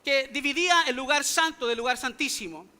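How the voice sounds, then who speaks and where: Man, pastor, sermon, very high voice, sharp bright tone, English-native speaker, rhetorical questions. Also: fairly quick. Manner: emphatic, full of rhetorical questions to the congregation. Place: church sanctuary.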